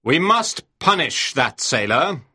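Woman putting on a husky, barking sea captain. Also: low-pitched, big pitch swings, slow pace, sharp tone, voice pushed down, full character voice. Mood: stern, commanding. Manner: barking, imperious. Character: stern, angry male sea captain, a harsh disciplinarian. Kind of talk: audiobook character dialogue.

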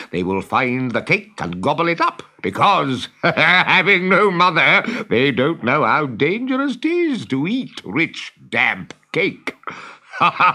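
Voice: medium pitch, sharp tone, full character voice. A theatrical, gloating pirate villain. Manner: theatrical, gloating, drawn-out delivery. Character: wicked scheming pirate captain, gloating villain. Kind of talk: children's storybook reading.

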